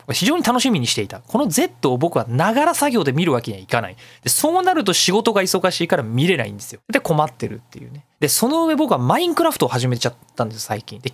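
A man with a fairly high, very animated voice hosts a casual solo radio show. He talks fast in a chatty, self-mocking way, drifting into long explanations. The sound is dry and close. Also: no echo.

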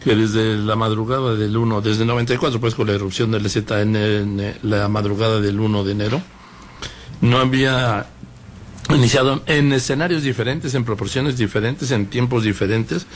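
A male radio host, talking in a measured way and listing dates and past events. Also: lowish voice, steady tone, slight background noise. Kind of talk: radio interview conversation.

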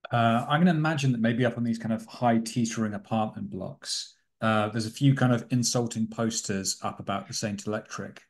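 A man's voice: lowish voice, a little mellow. A man playing a tabletop game, improvising descriptions of a scene as a player. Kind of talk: improvised descriptive roleplay talk. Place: home room on a video call.

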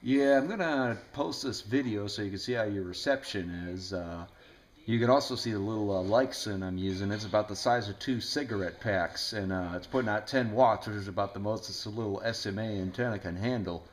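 A man's voice: on the low side, brisk. A man chatting casually over the radio as an amateur operator, explaining things in a rambling way. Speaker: ham radio operator making a video. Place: indoors in a home room. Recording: echoey room.

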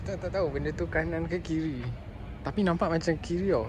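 A man's voice: on the high side, very fast, over a noisy crowd. A young man in his twenties with a Malaysian accent, chatting casually to a phone camera in a car and on night streets, mixing English and Malay. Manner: chatty, switching between English and Malay mid-sentence. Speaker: vlogger. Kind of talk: casual vlog narration. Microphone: handheld phone camera. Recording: loud street and car noise behind.

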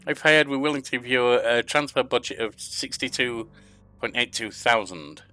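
Older man, bright voice, game commentary. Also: mid-range voice, moderate pace, smooth, faint music behind. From England. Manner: reads interview questions aloud and answers them himself. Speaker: gaming YouTuber. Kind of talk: casual let's-play commentary. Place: home room at a desk.

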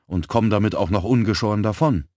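Brisk young woman, put on by a man, raised voice, frank and lively, dry booth sound. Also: lowish voice, no echo, pitched above the performer's own voice, natural voice. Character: quick-witted young woman, police typist.

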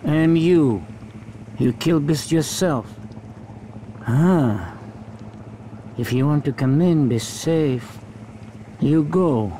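A man playing a sinister station cook in a video game, speaking in halting, clipped phrases. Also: fairly high pitch, animated, dark tone, slight background noise. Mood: halting, uneasy.

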